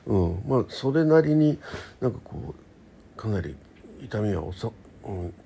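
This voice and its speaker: A man hosting a casual solo radio chat, talking in a halting, rambling way and often correcting himself. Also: lowish voice, animated, creaky, warm tone, a little hiss or hum. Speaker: internet radio host.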